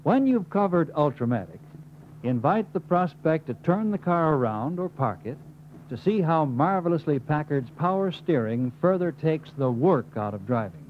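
A man's voice: a little high, expressive, moderate pace. An American man reading scripted instructional narration in an emphatic manner, as the narrator of a training film. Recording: muffled, hissy 1950s film soundtrack.

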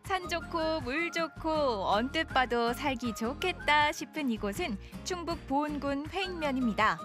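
Chipper female narrator, high voice, music under. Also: lively intonation, normal speed. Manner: bright, cheerful. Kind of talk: scripted narration.

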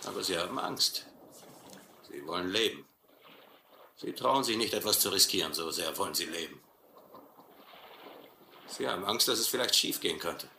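A man's voice: low-pitched, animated, normal speed, vocal fry, pauses often, sharp tone, heavily acted. A man playing a brutal, cynical sea captain in a German radio play, taunting and challenging. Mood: scornful, taunting. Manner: mocking, challenging. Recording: roomy, faintly noisy old radio play.

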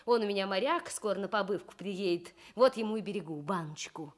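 A woman's voice: medium pitch, a little fast, natural voice. A woman playing a simple, kindly, talkative yard-keeper who rambles and exclaims in folksy speech for a radio story. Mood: rambling, musing. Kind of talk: Soviet 1960s children's radio storytelling.

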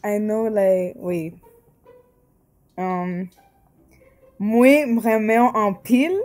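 Slow-talking woman with a Colombian accent. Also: mid-range voice, darkish tone, faint music behind. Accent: Colombian Spanish. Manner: shy, hesitant, many "like" fillers. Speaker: video chat stranger. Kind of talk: casual video chat. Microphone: webcam over video call.

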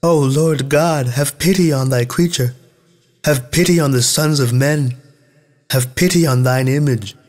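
A man plays a pious male visionary in a scripture tale. His voice is raised in pitch and implores earnestly for mercy. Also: measured pace, darkish tone, faint music behind, close to an everyday voice. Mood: imploring. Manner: pleading. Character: Paul, sighing human visionary begging for mercy. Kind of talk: storybook scripture reading.

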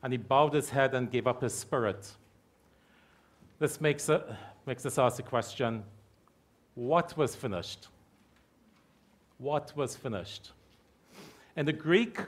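A middle-aged man preaching at a pulpit microphone in a church hall with stage curtains. His pitch sits in an ordinary middle range, and he speaks with steady emphasis, slowing down to repeat key phrases. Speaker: pastor. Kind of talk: sermon.

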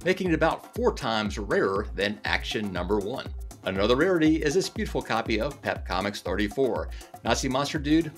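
A man in his forties hosting a comic book collecting video, talking through scripted commentary with enthusiastic, playful asides. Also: medium pitch. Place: indoor room with chandelier.

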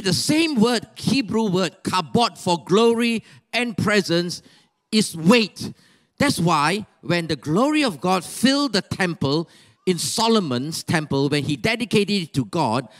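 An older man preaches in Malaysian-accented English, his voice sitting unusually high for a man. He exhorts his congregation, fires off rhetorical questions, and breaks into shouts when he urges them on. It is a live sermon delivered on a church stage into a handheld microphone. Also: sing-song, a little slow. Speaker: pastor. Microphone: handheld mic.

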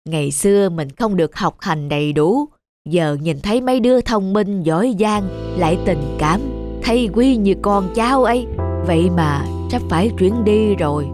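A woman's voice: mid-range voice, lively intonation, moderate pace, close to an everyday voice. A woman voicing a humble, affectionate elderly street dessert seller in a cartoon, chatty and homely. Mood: wistful. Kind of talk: cartoon voice acting. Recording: background music under dialogue.

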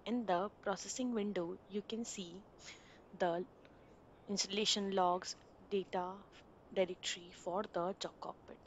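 A woman of middle pitch talks through a software installation one step at a time, in a plain instructional voice. A faint hiss sits under her voice-over. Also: steady tone, a little slow. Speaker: software tutorial narrator. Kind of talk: screen-recorded installation walkthrough.

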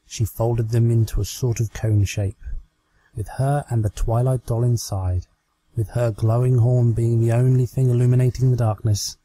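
Husky, mellow male story narrator. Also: medium pitch, little pitch movement, normal speed, rough recording. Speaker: amateur story reader. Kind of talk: scripted fan-fiction narration.

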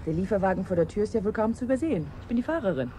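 A sardonic, impatient female delivery driver, played by an actress in a vintage radio drama. Her pitch moves in animated swings as she needles and teases. A faint hiss sits behind the voice. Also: very fast, close to an everyday voice. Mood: brisk, irritated. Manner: wry, teasing, impatient. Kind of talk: 1980s German radio play acting. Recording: hissy vintage radio play recording.

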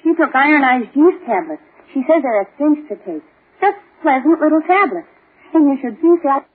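An actress playing a helpful, chatty friend giving advice in a 1940s radio advertisement. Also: female voice, sits high, big pitch swings, normal speed. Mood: chatty, reassuring. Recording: muffled, lo-fi old radio recording.

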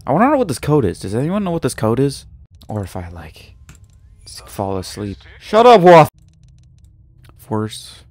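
A man's voice: medium pitch, wildly swooping pitch, normal speed, long pauses, darkish tone, no room sound. A young man giving casual, offhand live gaming commentary, muttering to himself and swearing. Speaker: game streamer.

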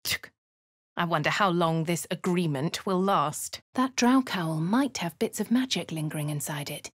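An actress voicing a posh female adventurer with an English accent, speaking in a breathy, often half-whispered way. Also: on the low side, normal speed, dry recording, close to an everyday voice. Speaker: voice actor for a player character. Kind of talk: video game voice lines. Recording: dry studio booth.

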